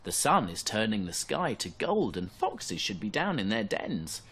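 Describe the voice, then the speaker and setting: A gentle mother deer from a children's tale, voiced by a man. She advises kindly in a soft-edged, husky voice. Her pitch lilts freely. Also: big pitch swings, unhurried, full character voice.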